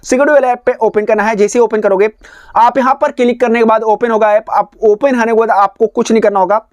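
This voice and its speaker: A man's voice: high-pitched, fairly even intonation, quick pace, smooth, no room sound. A man hosts a phone tutorial. He explains one step at a time, often repeating his instructions. The recording is dry, with very little room sound around the voice. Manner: repetitive, instructional phrasing. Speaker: tech tutorial host.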